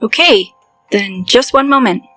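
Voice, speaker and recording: An actress playing a self-assured woman in a fantasy audio drama who talks crisply and with dry pride. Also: a little high, lively intonation, unhurried, a bit bright, faint music behind. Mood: brisk. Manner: crisp, commanding, dryly proud. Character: brisk, self-assured woman guild organizer.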